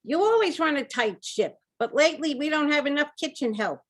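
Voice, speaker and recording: High-voiced, placating center director, acted by a woman. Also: lively intonation, brisk. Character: placating senior-center director, sympathetic but skeptical woman. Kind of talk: amateur old-time radio theater acting.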